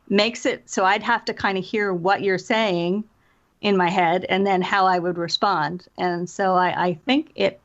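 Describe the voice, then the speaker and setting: An American woman talking conversationally as an interviewed author and voice actor, explaining things in a chatty way with quoted asides. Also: mid-range voice. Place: remote call from separate location. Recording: dull, lo-fi call audio.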